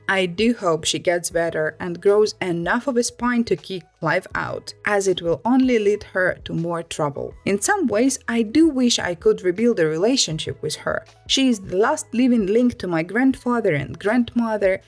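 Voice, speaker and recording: A woman narrating stories in an expressive read-aloud storytelling style. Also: mid-range voice.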